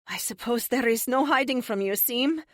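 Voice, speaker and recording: A woman's voice: fairly high pitch, moderate pace, dry recording, studio quality, pitched above the performer's own voice, natural voice. A woman voicing a polite, earnest foreign policewoman hero who speaks formally and courteously, in an audiobook. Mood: sad.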